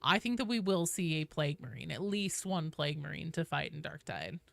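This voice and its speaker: Gamer woman streaming, lowish clean voice, casual rambly thinking out loud, dry close sound. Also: sing-song, a little fast, gravelly, no room sound. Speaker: game streamer. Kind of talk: casual live gameplay commentary.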